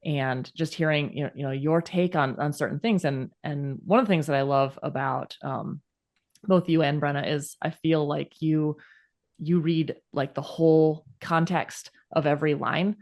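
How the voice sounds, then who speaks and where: A woman's voice: low-pitched, steady tone, normal speed, a little mellow. A woman, an author hosting the show, chatting casually and enthusiastically, with frequent affirming "yes" and "yeah". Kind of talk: casual interview chat.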